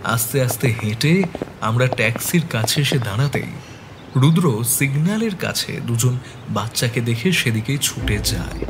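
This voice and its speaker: A man narrating a detective story as the sleuth's loyal, concerned companion, in a measured dramatic style. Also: mid-range voice, lightly acted. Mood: subdued, reflective. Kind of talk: scripted suspense story narration. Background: background music.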